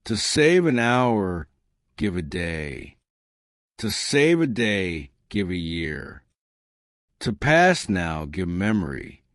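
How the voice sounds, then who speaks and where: A man's voice: low voice, very animated, slow pace, dry recording, studio quality, heavily acted. A man voicing an ominous, ancient supernatural toll gate that bargains in a solemn, cryptic, commanding way, in a storybook thriller. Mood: solemn, tempting.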